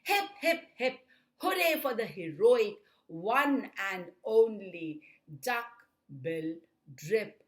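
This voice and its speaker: A woman's voice: mid-range voice, big pitch swings, drawn-out words, fairly bright tone, full character voice. A woman voicing a cheerful, friendly duck-billed dinosaur in a storybook, chanting in gleeful hoots. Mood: triumphant.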